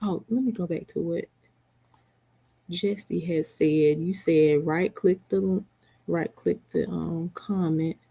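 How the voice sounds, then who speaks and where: A woman's voice: lowish voice, fairly even intonation, takes it slowly, vocal fry, dark tone, slightly rough. A middle-aged woman hosting a casual live stream from home, chatting with viewers by name and laughing often. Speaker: livestream host. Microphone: headset mic.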